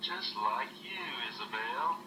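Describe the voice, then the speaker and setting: A man's voice: medium pitch, expressive, unhurried, background noise. An older man reading a children's storybook aloud as a grandfather would to a child. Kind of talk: storybook narration read for a child. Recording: roomy, distant home recording with music. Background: music.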